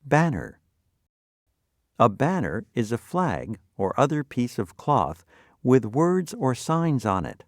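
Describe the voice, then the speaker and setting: A man narrating a scripted vocabulary lesson in deliberate, clearly separated phrases. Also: medium pitch, no echo, clean studio sound.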